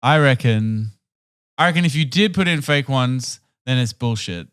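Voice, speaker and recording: A man's voice: mid-range voice, moderate pace, smooth. Young man quipping in podcast chat. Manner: relaxed, reacts with short quips and exclamations. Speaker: podcast co-host. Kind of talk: casual chat. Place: home room with shelves, indoors. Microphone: boom studio mic close up.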